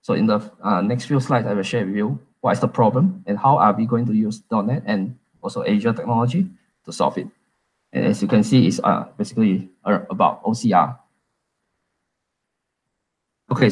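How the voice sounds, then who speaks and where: Husky-voiced Malaysian man presenting. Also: lowish voice, low-quality recording. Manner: casual, halting, many fillers and restarts. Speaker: conference speaker. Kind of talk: tech meetup presentation.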